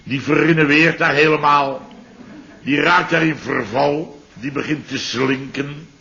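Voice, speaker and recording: A man's voice: on the high side, measured pace, creaky, pushed and bright, exaggerated voice. An actor playing a rambling, muddled middle-aged businessman in a 1960s radio play, groping for words and exaggerating comically. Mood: blustering. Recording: dull old radio recording.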